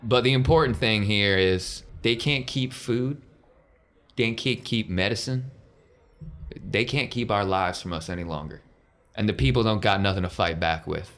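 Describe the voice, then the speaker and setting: A man voicing a gruff, folksy rebel leader rallying fighters in a tabletop game, with commanding delivery and down-home grammar. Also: lowish voice, moderate pace, fairly bright tone. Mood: rousing, resolute.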